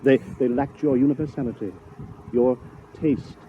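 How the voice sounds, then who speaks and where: A man's voice: medium pitch, steady tone, moderate pace, close to an everyday voice. Man acting a fussy, theatrical scholar on muffled old radio. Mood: gushing admiration. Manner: theatrical, stammering, flowery. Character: fussy, effusive male art scholar visiting from the future. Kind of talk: 1950s radio drama acting. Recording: muffled, noisy 1950s radio recording.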